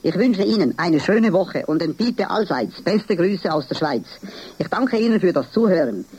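A man from Valais with a Swiss accent, presenting church news on the radio in a formal, measured reading. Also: sits high, very animated, darkish tone. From Valais, Switzerland. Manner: formal, measured, clear delivery.

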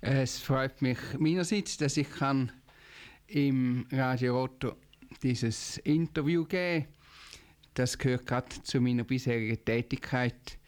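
An older man from Zurich with a Swiss German accent, answering radio interview questions in a measured way with frequent pauses and fillers. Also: medium pitch. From Zurich, Switzerland. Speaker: guest, Jesuit professor.

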